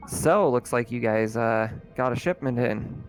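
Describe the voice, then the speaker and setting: A man playing a needy local colony official in a tabletop sci-fi game, asking haltingly for help. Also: medium pitch, faint music behind, lightly acted. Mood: probing. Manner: hesitant, halting requests.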